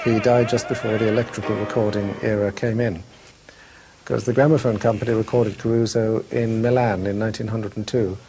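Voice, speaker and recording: A man's voice: fairly low pitch, dark tone, poor audio. Man explaining over music. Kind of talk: documentary interview explanation.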